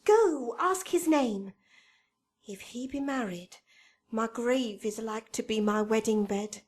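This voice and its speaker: Young noblewoman in love, high-pitched, slow measured verse, Shakespearean radio play. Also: darkish tone, breathy edge. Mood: anxious. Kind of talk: Shakespearean radio drama acting.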